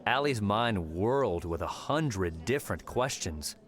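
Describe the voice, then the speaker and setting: A man's voice: on the low side, lively intonation, unhurried. A man reading scripted story narration as an audiobook narrator. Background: music.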